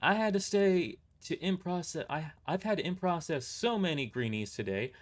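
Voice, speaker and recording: A man's voice: fairly high pitch, animated, moderate pace, voice pushed up, close to an everyday voice. A man voicing a chatty, doting older female receptionist with a folksy rural twang in an audio drama. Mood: folksy, harried. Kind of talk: amateur audio drama character voice.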